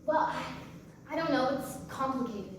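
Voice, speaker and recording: A woman playing a guarded, sardonic young divorced mother in a staged reading of a play, with a halting, wry delivery. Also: sits high, animated. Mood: hesitant, regretful. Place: large echoey room, recorded from far off. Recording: distant, very echoey room with faint noise.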